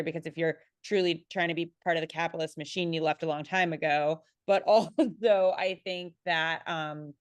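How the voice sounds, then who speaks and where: Young woman chatting on a panel, lowish voice, animated, self-deprecating, very dry audio. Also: no echo. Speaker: panelist, writer. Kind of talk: conversational panel discussion.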